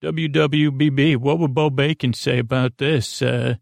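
A man's voice: mid-range voice, level tone, measured pace, gravelly, no echo, clean studio sound. A man hosting a bedtime podcast, rambling and meandering, stammering and losing his train of thought as he talks. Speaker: podcast host.

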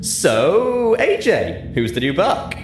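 A man voicing a brash, tipsy, aging female pegasus pony who talks loud and swaggering. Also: sits high, huge pitch swings, moderate pace, pitched above the performer's own voice, full character voice. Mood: teasing. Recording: roomy sound with music underneath.

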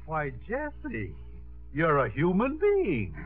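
A man's voice: a little high, wildly swooping pitch, takes it slowly, faint music behind, heavily acted. An actor playing a wry, blunt, teasing old father in a 1950s radio drama. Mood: excited, incredulous. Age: older. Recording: muffled, narrow-band 1950s radio broadcast.

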